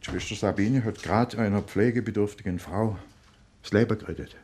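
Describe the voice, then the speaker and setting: An actor playing a good-humoured provincial police inspector with a Swabian accent and a folksy, rambling manner in a radio play. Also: male voice, on the low side, normal speed, background noise, lightly acted. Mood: businesslike.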